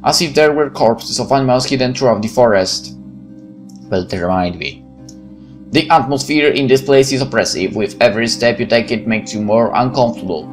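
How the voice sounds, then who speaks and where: A man reading a visual novel aloud in a theatrical, expressive manner, with spoken asides. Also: medium pitch, normal speed. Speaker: gaming channel narrator. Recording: music behind the voice.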